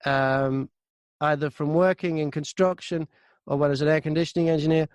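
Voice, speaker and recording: A man's voice: on the high side, fairly even intonation, brisk, clean tone, no echo. British man telling stories in an interview. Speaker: audiobook narrator and broadcaster. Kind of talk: casual interview storytelling.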